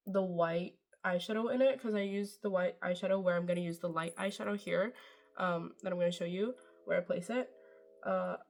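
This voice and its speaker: Chatty young woman doing a makeup tutorial. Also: medium pitch. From Norway. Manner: chatty, rambling, self-deprecating, swears casually. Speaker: beauty vlogger. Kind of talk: casual makeup tutorial chat.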